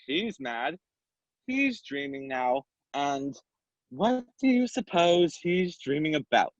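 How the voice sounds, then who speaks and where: A woman's voice: deep voice, big pitch swings, slow pace, dry recording, dull audio, full character voice. A woman playing a sly, mad, grinning cat in a dramatic reading of a children's classic, with a teasing, leisurely manner.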